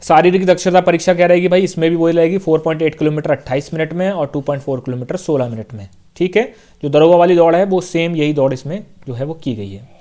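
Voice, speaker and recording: A man's voice: a little high, fast, hardly any pauses, dark tone. A young man, an exam coaching teacher, casually walking viewers through a job notice, repeating points and checking in often. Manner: casual, repeats points, fills with "theek hai" and "bhai". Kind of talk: explaining a job notification on screen.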